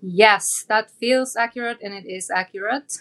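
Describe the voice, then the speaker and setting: A young adult woman from Norway with a Norwegian accent, a podcast guest talking in a casual interview and often pausing on ums and uhs as she searches for words. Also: mid-range voice, fairly bright tone.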